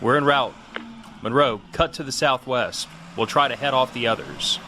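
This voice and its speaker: A man playing a tough, steady army major in an audio drama, giving clipped, commanding lines. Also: medium pitch, moderate pace, close to an everyday voice. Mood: urgent command. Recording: noisy battlefield sound effects behind.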